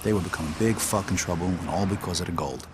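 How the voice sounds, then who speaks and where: A man's voice: low-pitched, fast talker, background noise, lightly acted. An actor playing a hardened, streetwise mobster and Vietnam veteran, swearing freely as he recounts his past in a gritty crime game. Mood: reflective, gritty. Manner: profane, streetwise.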